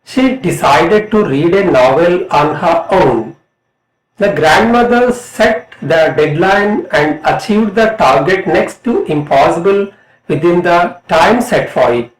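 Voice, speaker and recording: A middle-aged man with an Indian accent reading a scripted lesson as an English lecturer, in a deliberate, emphatic way. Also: high voice, animated. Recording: roomy, echoing voice.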